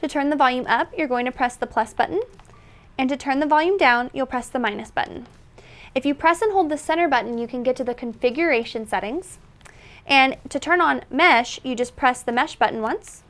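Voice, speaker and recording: A woman's voice: high-pitched, brisk, a bit bright. A woman presenting a product tutorial in an instructional, step-by-step manner. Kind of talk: tutorial narration.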